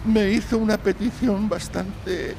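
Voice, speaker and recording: Timid young client: high, creaky voice, halting and troubled, audio drama. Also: male voice, fairly even intonation, noisy background. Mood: tearful, anguished. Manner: hesitant, halting, sighing. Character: timid, anguished young male client telling his misfortune. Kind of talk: live audio drama acting.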